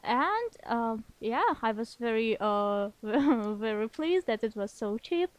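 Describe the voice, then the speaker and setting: Higher-voiced woman guest, hesitant speech. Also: very animated, no echo. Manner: hesitant, many pauses and restarts, laughs lightly. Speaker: podcast guest. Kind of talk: casual interview talk.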